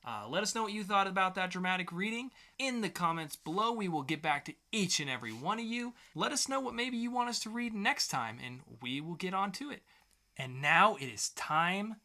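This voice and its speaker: A man's voice: high voice, pushed and bright. A man hosting a podcast segment in a casual, conversational style, sometimes swearing. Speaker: podcast host.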